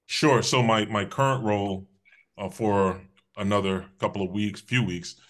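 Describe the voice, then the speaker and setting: A middle-aged man from Connecticut answering interview questions on a video call, measured and thoughtful. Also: on the low side, fairly even intonation. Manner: measured, thoughtful, some restarts. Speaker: guest, public safety official. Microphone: video call webcam.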